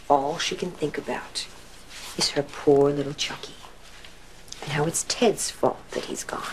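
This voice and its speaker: Low-voiced, intent woman writer. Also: darkish tone, a little hiss or hum, low-quality recording. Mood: hushed, suspenseful. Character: intent female radio serial writer dictating stories. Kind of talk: dramatic storytelling dictation.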